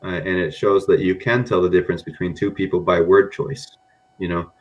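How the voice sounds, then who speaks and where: Man, mixed american accent, very deep voice, rambling casual guest, muffled lo-fi audio. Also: flat delivery, a little fast. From grew up in Japan among Americans. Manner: rambling, frequent "you know" and "um". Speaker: podcast guest, data scientist. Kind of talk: casual podcast interview.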